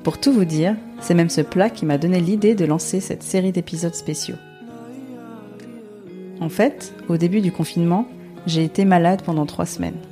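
A woman from France presents a podcast as its host, following a script. Her voice sits a little low and has a soft, dark tone. Faint music plays along. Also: normal speed.

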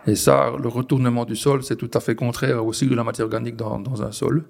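A man's voice: mid-range voice, level tone, fast, creaky, darkish tone. A man in his forties from the Belgian Ardennes, a farmer explaining his work in an interview with frequent restarts and fillers. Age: 40s. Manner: hesitant, many restarts and fillers. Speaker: farmer guest. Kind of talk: conversational interview. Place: on a farm.

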